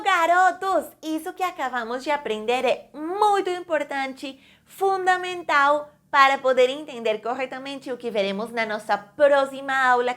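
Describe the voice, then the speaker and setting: A woman teaches in an unhurried, deliberate way. Her voice sits on the high side, and she spells out each word clearly for learners. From Colombia. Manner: slow, careful, clearly enunciated teaching. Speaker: Portuguese teacher. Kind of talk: online language lesson.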